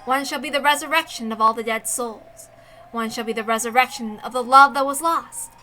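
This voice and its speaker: A woman's voice: on the high side, fairly even intonation, brisk, sharp tone, faint music behind. A woman reading a visual novel aloud as narrator in a dramatic scripted storytelling style.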